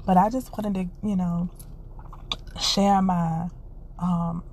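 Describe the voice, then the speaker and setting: A woman's voice: fairly low pitch, fairly even intonation, slow pace, darkish tone, a little hiss or hum. A woman hosting a podcast, giving an earnest, encouraging casual monologue and repeating her phrases, congested and stuffy. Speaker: podcast host.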